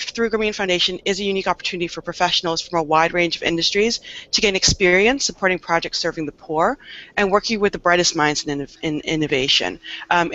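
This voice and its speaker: A woman's voice: mid-range voice, fairly bright tone. A woman facilitating a live video call Q&A, with many hesitant fillers. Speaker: host and facilitator. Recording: dull lo-fi video call audio.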